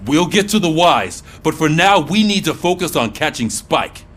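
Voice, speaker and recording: High-voiced, animated police commander. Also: male voice, normal speed. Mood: stern, urgent. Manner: authoritative, businesslike. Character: no-nonsense police sergeant briefing his squad. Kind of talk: video game voice acting.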